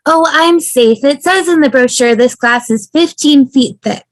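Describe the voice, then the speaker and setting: A woman's voice: high-pitched, measured pace, dry recording. A woman playing a blunt, teasing friend in a fan table read. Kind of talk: fan table read over video call.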